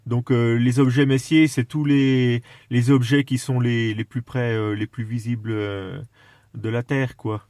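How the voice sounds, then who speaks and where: Man, mid-pitched voice, halting casual delivery, enthusiastic explainer, very dry sound. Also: level tone, a little mellow, no echo. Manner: hesitant, halting, searching for words. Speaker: amateur radio operator. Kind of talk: casual chat over CB radio.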